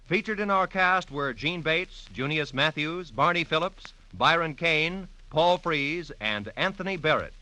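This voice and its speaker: A man's voice: on the high side, animated, a little slow, few pauses, bright, forceful tone, poor audio. An American man announcing credits on an old network radio show, polished, formal and emphatic. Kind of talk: 1940s-50s radio announcing, scripted credits. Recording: vintage network radio broadcast.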